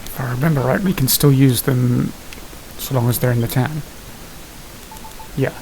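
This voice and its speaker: Gravelly voice, casual gameplay commentary, thinking aloud, medium pitch, faintly hissy home recording. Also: fairly even intonation, normal speed, mellow, rough recording. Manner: muttering to self, thinking aloud. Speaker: gamer hosting a gameplay series.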